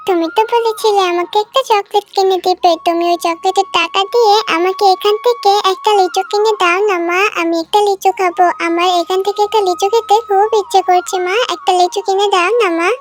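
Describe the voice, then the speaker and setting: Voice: extremely high pitch, fairly even intonation, brisk, hardly any pauses, faint music behind. A performer voicing an insistent, pleading little girl in a children's cartoon, wheedling and repeating herself. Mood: eager begging. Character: pleading, insistent little girl begging her mother for treats.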